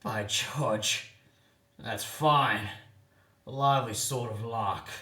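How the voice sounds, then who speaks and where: A man voicing a hot-tempered, rough bushman from the outback in a comic ballad, loud and blustering. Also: medium pitch, slow, echoey room, distant mic. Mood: indignant. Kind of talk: comic ballad character acting.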